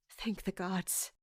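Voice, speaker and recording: An actress playing a weary, secretive woman in charge, measured and firm, in an audio drama. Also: bright, forceful tone. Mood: relieved, concerned.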